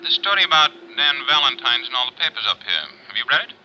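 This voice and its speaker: An actor playing a blunt, businesslike official with clipped, forceful delivery in a 1950s radio drama. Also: male voice, mid-range voice, very animated, fast talker. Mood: brisk, blunt. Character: blunt, brisk businesslike man, official or executive. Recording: muffled 1950s radio recording.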